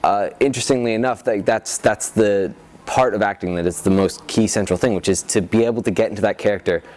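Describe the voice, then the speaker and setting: A man's voice: on the low side, fairly quick, darkish tone. A man speaking as a theatre group member in a documentary interview.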